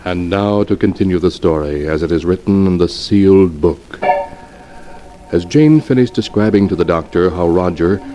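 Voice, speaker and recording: A man as an ominous radio horror storyteller with a low voice. He narrates from a script over prominent music. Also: moderate pace, close to an everyday voice, rough recording. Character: ominous storyteller reading from a sealed book. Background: loud music.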